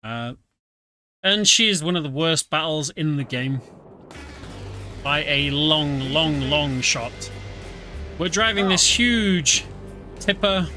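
A man talks over his gameplay in a relaxed, chuckling way. His voice sits a little high. Game music plays loudly behind him. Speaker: streamer. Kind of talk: casual game commentary.

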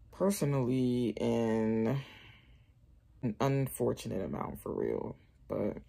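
A woman's voice: extremely low pitch, creaky, a little mellow. A young woman chatting casually to camera in a small bedroom, rambling with lots of fillers. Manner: rambling, loose, filler-heavy talk. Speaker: vlogger. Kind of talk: casual vlog chat.

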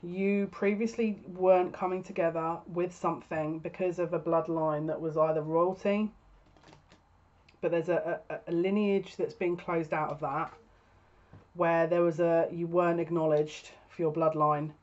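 A woman's voice: fairly low pitch, steady tone, a little slow, a little mellow. A woman in her thirties giving a casual, spoken tarot reading to camera as a psychic reader. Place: small furnished room at home, indoors. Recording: dull lo-fi webcam sound.

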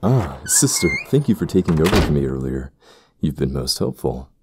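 An actor playing a stern, ambitious temple priest in a fantasy audio drama, speaking formally and gravely with a husky, gravelly voice. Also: male voice, a bass voice, very animated, moderate pace, noisy background. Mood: solemn concern. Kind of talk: fantasy audio roleplay acting.

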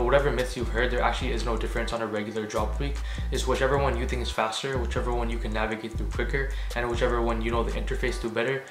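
A young man from Canada giving a casual how-to talk to camera in a small home room, quick and chatty with lots of fillers. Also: mid-range voice, echoey room. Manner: quick and chatty, many fillers like "you know". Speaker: sneaker vlogger.

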